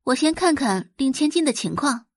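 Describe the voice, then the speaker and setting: A woman voicing a calm, refined, self-possessed young healer in an audiobook drama, polite and matter-of-fact. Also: sits high, moderate pace, no room sound, clean studio sound, voice pushed up. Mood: polite, reassuring. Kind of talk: Chinese audiobook drama dialogue.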